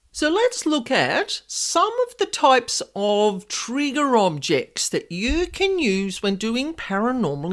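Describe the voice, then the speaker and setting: Loud, lively woman. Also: medium pitch. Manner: extravagant, animated, easily sidetracked. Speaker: podcast host, paranormal investigator. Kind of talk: casual storytelling podcast.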